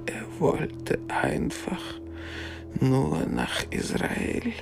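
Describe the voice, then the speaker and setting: Very slow, creaky, grave former camp prisoner. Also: mid-range voice, wildly swooping pitch, music in the background, full character voice. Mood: grave, sorrowful. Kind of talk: radio drama monologue.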